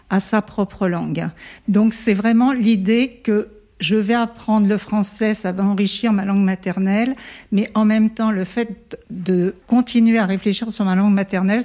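A woman's voice: mid-range voice, dark tone. A woman heading an association, explaining her ideas in an earnest interview. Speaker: association president. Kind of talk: interview answers.

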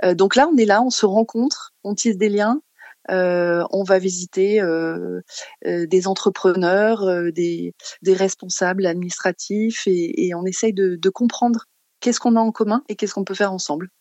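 Halting French woman, dry close sound. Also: medium pitch, fairly even intonation, a little mellow, no echo. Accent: French from France. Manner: halting, searching for words, repeating phrase starts. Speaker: interview guest, community association organiser. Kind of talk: spontaneous interview answers.

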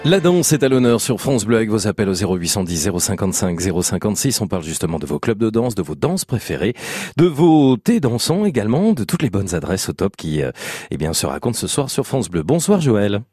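Fast-talking upbeat radio host man. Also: lowish voice, sing-song, warm tone, slightly rough, no room sound, clean studio sound. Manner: upbeat, brisk, encouraging. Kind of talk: live radio phone-in hosting.

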